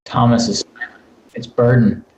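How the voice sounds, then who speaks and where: A man's voice: mid-range voice, fairly even intonation, moderate pace, a little mellow. A man reading stage directions as scripted narration at a table read over a video call. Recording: roomy, echoing video call audio.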